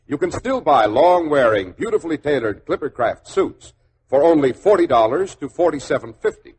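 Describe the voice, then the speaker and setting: An American man announcing and reading advertisements in a polished, emphatic 1940s radio style. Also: medium pitch. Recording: noisy old 1940s radio recording.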